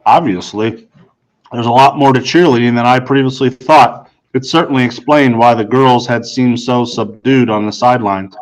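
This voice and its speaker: A man's voice: mid-range voice, steady tone, moderate pace, low-quality recording. A man narrating a first-person audiobook story in a formal, precisely worded manner. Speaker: audiobook reader.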